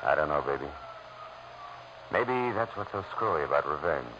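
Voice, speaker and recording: An actor playing a weary, hard-boiled private detective with an American accent, in 1940s radio noir style, clipped and wry. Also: male voice, extremely low pitch, very animated, moderate pace, background noise. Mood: reflective. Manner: world-weary, clipped wisecracking. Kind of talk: 1940s radio noir acting and first-person narration. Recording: muffled old radio recording with music.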